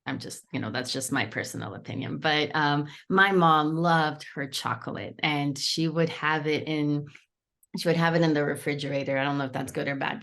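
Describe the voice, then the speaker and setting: A woman's voice: deep voice, a bit bright. A woman from Brazil, a coach and author, giving a chatty webinar presentation over a video call, with frequent fillers and playful asides. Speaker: guest speaker, coach and author.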